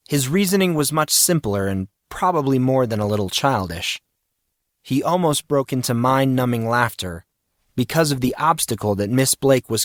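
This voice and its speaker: A man narrating scripted fiction in a measured, expressive storytelling manner. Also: mid-range voice, no echo, clean studio sound. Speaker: audiobook narrator.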